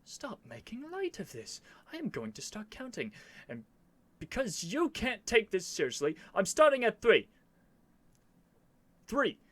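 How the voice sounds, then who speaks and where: A man's voice: sits high, huge pitch swings, measured pace, a bit bright, dry recording, full character voice. A man playing a stubborn, flustered young prince with haughty, theatrical phrasing in an ASMR roleplay. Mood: defiant, indignant.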